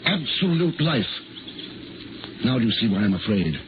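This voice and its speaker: A man's voice: mid-range voice, big pitch swings, moderate pace, close to an everyday voice. A man playing an obsessed, uneasy surgeon in a 1950s radio drama, speaking in intense, earnest, clipped phrases. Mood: fearful awe. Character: obsessed, uneasy male surgeon turned secret experimenter. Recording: scratchy, tinny 1950s radio broadcast, noisy.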